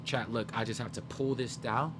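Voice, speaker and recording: A young man talking to his live chat in a loose, rambling way, working out joke ideas as he goes. His voice sits in a middle register. A steady hum runs under the recording. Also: mid-range voice, normal speed. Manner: rambling, brainstorming jokes aloud, talking to chat. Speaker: livestreamer. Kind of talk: casual chat with live viewers.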